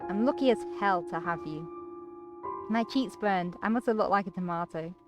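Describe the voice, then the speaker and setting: A woman's voice: medium pitch, normal speed, over music. A woman streamer reading visual novel narration expressively, with casual asides to viewers. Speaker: game streamer.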